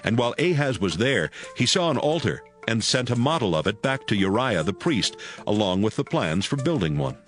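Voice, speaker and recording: A man narrating a dramatized audio Bible as a scripted storyteller. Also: fairly low pitch. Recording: music under the voice.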